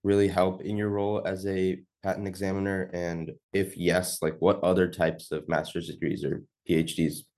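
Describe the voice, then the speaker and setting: Deep-voiced young podcast host. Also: male voice, little pitch movement, darkish tone. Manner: quick questions with casual "like" fillers. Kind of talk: reading prepared interview questions.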